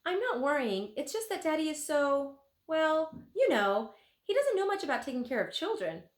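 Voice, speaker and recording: A woman's voice: high-pitched, very animated, moderate pace, voice pushed up. A woman voicing a caring, slightly anxious mother in a children's storybook. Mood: concerned. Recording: roomy, echoing room sound.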